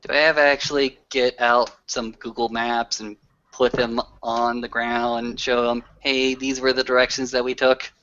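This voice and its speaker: A man's voice: mid-range voice, flat delivery, moderate pace, pushed and bright, clean tone. A man chatting casually as a player in a tabletop roleplaying game. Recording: dull, lo-fi group session recording.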